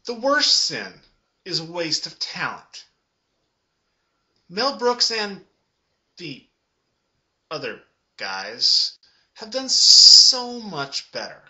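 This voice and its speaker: A man's voice: sits high, wildly swooping pitch, drawn-out words, pauses often, sharp tone, low-quality recording. A man giving sarcastic review commentary in a dry, emphatic way. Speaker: comic reviewer.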